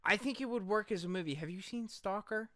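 A man's voice: sits high, fairly quick, sharp tone, no echo. A man co-hosting a podcast, giving opinionated reactions in casual chat, emphatic and sweary, often repeating himself. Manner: emphatic, repetitive, casually profane. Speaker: podcast co-host.

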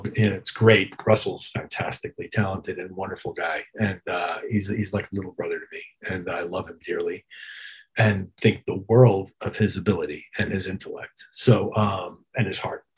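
A middle-aged man with a husky voice answering interview questions as a musician, reflective and detailed in his explanations. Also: deep voice, a little mellow, low-quality recording. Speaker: interview guest, touring keyboard player.

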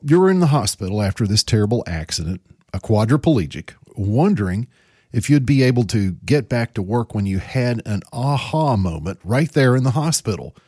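Male podcast host, steady prepared delivery, low-ish pitch, dry close sound. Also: normal speed, no room sound. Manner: smooth, prepared questions. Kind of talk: podcast interview.

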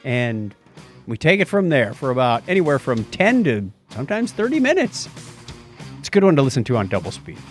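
A man's voice: mid-range voice, big pitch swings, fairly quick, soft background music. A man hosting a podcast in casual chat, with jokey, informal asides. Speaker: podcast host.

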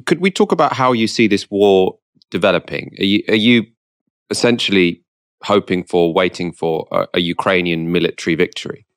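A man interviewing a guest in a conversational way, putting measured, probing questions. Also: sits low, dry recording, clean studio sound.

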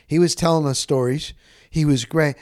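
Middle-aged man, slightly high voice, dry close sound, casual sportscaster storytelling, candid, animated, salty, repetitive. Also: moderate pace, no room sound. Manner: candid, animated, profane, repeats phrases for emphasis. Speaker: sportscaster and podcast host.

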